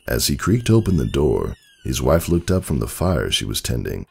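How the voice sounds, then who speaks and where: A man narrating a story as a dramatic scripted reading, with a gravelly, husky voice and expressive literary delivery. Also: a bass voice, moderate pace.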